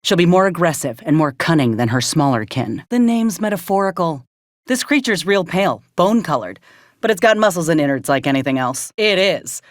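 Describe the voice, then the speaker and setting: A woman acting as a stubborn frontier soldier in a video game. Her voice sits low and moves freely up and down, with folksy, blunt phrasing and a dry wit. The sound is close and dry. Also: animated, fairly quick, no echo, natural voice. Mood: measured, wry. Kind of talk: video game character dialogue.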